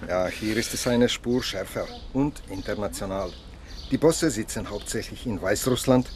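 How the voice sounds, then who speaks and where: An actor playing a courteous, businesslike police investigator in a radio drama, speaking in a measured, matter-of-fact way. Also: male voice, lowish voice, a little hiss or hum, natural voice. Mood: grave, informative. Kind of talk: German radio drama dialogue.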